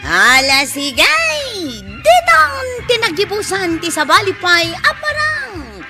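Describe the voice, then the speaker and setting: A woman's voice: very high voice, wildly swooping pitch, slow, over loud music. A woman with an Ilocano Filipino accent announcing the scripted credits of a radio drama.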